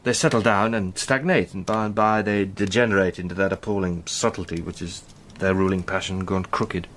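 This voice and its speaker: An actor playing an earnest, impassioned British gentleman spy with a literary turn of speech in a radio drama. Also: low voice, steady tone, a little fast, slight background noise. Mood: intense, awed. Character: Sandy, fervent eloquent British gentleman spy.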